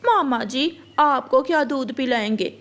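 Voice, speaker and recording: A woman's voice: sits high, animated, measured pace, a bit bright. A woman playing a bossy, greedy female crow in a children's cartoon. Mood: eager, greedy.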